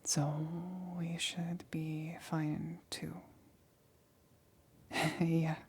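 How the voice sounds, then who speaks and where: A woman playing a nervous scientist in a whispered ASMR roleplay, speaking softly and breathily with hesitant, halting stammers. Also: deep voice, monotone, slightly rough, full character voice. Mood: uneasy, confiding, then nervous, protective. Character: nervous female scientist examining an alien specimen.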